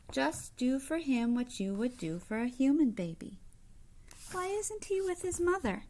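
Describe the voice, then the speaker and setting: Slow-paced woman reading a picture book aloud. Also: on the high side. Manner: explanatory, asks the listeners simple questions. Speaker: teacher reading aloud to children. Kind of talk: picture book read-aloud with asides to young listeners.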